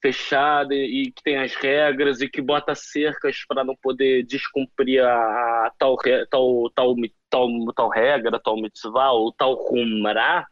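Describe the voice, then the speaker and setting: A man's voice: mid-range voice, flat delivery, measured pace, hardly any pauses, dry recording, muffled recording. A Brazilian man talking casually as an interview guest, hesitant, with frequent restarts as he thinks aloud. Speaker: guest, former soldier.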